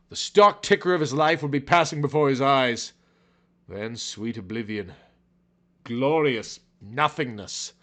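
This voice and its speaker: A man's voice: on the high side, pushed and bright. A man reading fiction aloud as a dramatic narrator, with an emphatic, theatrical delivery. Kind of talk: dramatic, overwrought scripted narration.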